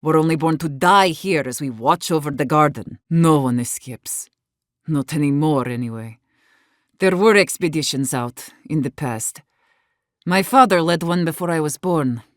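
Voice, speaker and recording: A woman's voice: deep voice, normal speed, dry recording, studio quality, lightly acted. An actress voicing an embittered, defiant woman warden leader in a video game, weary but fierce, speaking in a blunt, earnest way. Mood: grim, resigned. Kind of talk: dramatic game dialogue.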